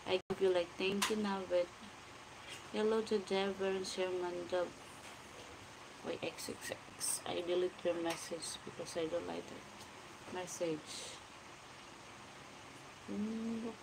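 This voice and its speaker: Slow, gravelly Filipino woman in her forties. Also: lowish voice, steady tone. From Philippines. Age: 40s. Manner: greets viewers by name, slow and chatty, mixes in Tagalog. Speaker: livestream vlogger. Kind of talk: casual livestream chat reading viewer comments. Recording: noisy room ambience.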